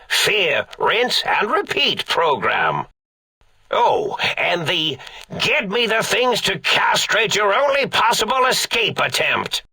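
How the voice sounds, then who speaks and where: A nutty old scientist from a video game, raspy n high, swoops all over, forgetful and pompous. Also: male voice, bright, forceful tone, no room sound, full character voice. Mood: musing, confiding. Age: older. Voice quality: creaky, raspy. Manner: rambling, pompous, absent-minded, melodramatic. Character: eccentric, forgetful elderly mad scientist, theatrical and rambling. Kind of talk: theatrical video game character acting.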